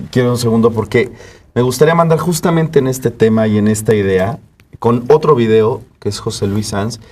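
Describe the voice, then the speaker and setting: A man's voice: on the low side, darkish tone, distant mic, slight background noise. A man co-hosting a conversational interview, interjecting and repeating his phrases. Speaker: talk show co-host. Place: internet TV studio.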